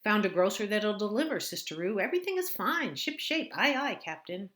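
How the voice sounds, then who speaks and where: A woman's voice: mid-range voice, animated, fairly quick, a bit bright, voice pushed up, natural voice. A woman voicing an odd, blunt adult sister who talks in clipped, sing-song phrases and stresses the wrong words. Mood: jaunty.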